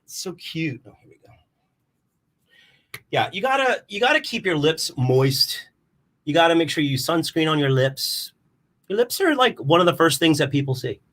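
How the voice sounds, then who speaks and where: A man's voice: a little high, sing-song, moderate pace, pauses often, a bit bright. A man performing casual rambling comedy in a scatterbrained, theatrical manner. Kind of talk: rambling casual comic livestream.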